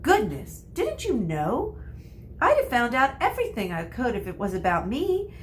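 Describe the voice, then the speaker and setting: A woman's voice: a little high, huge pitch swings, normal speed, a little hiss or hum, voice pushed up. A woman voicing a bossy, eager know-it-all young witch girl in a storybook, chattering in a breathless, self-assured way.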